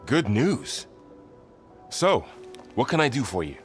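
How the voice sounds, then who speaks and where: An earnest, animated young warrior. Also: male voice, mid-range voice, slow pace, soft background music. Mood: upbeat. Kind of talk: naturalistic video game acting.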